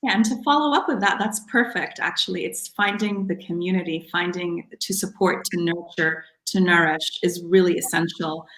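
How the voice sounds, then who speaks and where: Woman from Kuwait, lowish voice, earnest, encouraging, echoey room. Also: moderate pace, few pauses, fairly bright tone. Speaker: poet guest. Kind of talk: casual Q&A answers.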